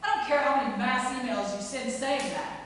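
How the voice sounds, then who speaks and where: High, sharp, indignant employee played by a woman. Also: fairly quick, slight background noise. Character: indignant, grieving employee standing her ground. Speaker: actor at a table reading. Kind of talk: stage play table reading. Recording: very echoey hall, far from mic.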